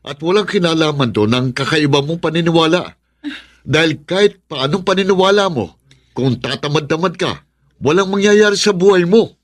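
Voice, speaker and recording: An actor playing a reasonable, exasperated fiancé in a Filipino radio drama, speaking earnestly. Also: male voice, fairly high pitch, animated, moderate pace. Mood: frustrated. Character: reasonable, exasperated fiancé pleading with stubborn bride.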